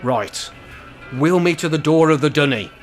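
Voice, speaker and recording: A man's voice: fairly high pitch, lively intonation, normal speed, faint music behind, lightly acted. A man playing a grumpy, bossy, rebellious older man in a comic radio play, blunt and exasperated. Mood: bossy, urgent. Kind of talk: radio play comedy acting.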